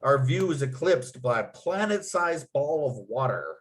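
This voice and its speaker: A man's voice: mid-range voice, big pitch swings, a little slow. A man reading stage directions as narrator at a script table read, in vivid storytelling style.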